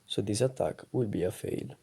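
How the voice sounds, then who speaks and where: A man talks over game footage in a low, soft voice. His tone is dark and gravelly. He explains each move in a calm, methodical way. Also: moderate pace. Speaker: gaming channel host. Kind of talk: gameplay commentary voice-over.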